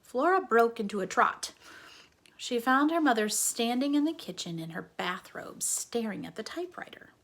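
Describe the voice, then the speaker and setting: A woman reading a children's book aloud as a dramatic storyteller, often dropping into a breathy hush. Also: on the high side, lively intonation, moderate pace. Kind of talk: expressive children's book narration.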